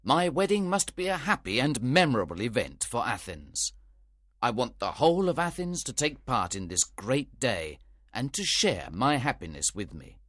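A man's voice: mid-range voice, very animated, normal speed, a bit bright, no echo, studio quality, pitched above the performer's own voice. A man voicing a stern, commanding duke in a storybook reading. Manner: authoritative, stern.

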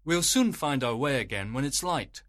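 A man's voice: mid-range voice, animated, normal speed, a bit bright, no echo, clean studio sound, voice pushed up, lightly acted. A man voicing an earnest, romantic young male lover in a storybook, with dramatic delivery. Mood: reassuring. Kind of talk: storybook character voice.

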